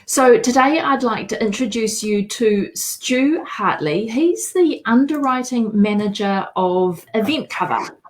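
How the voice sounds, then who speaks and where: A woman's voice: fairly high pitch, animated, a little slow, rough recording. A woman with a New Zealand accent hosting a live interview show, speaking in a welcoming way. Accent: New Zealand English. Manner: welcoming, opens in te reo Māori. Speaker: host.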